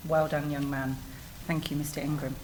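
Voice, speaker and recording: A woman's voice: low-pitched, flat delivery, normal speed. A woman interviewing in an encouraging, affirming way, like a teacher hosting a school podcast. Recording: faint background noise.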